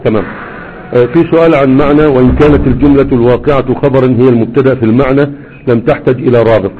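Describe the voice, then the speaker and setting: A man's voice: medium pitch, fairly even intonation, rapid-fire, slight background noise. A man with an Egyptian Arabic accent giving a grammar lesson, explaining over and over and quizzing his students with rhetorical questions. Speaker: grammar teacher. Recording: tinny phone-like audio.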